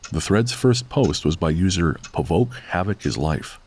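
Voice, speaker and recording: Very deep-voiced male horror narrator. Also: expressive, normal speed, darkish tone, slight background noise. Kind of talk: scripted horror story narration. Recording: faint music behind.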